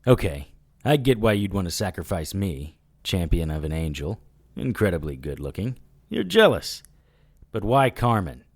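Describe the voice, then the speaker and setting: An actor playing a wisecracking, defiant private investigator in an audio drama, with a dry, sarcastic, unflappable delivery. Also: male voice, low voice, sing-song, normal speed, dry recording, full character voice. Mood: wry, defiant.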